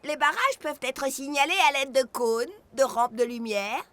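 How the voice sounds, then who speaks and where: A woman's voice: sits high, moderate pace, fairly bright tone, a little hiss or hum. A woman playing a cheerful, curious presenter who teaches young children in an educational cartoon. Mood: informative.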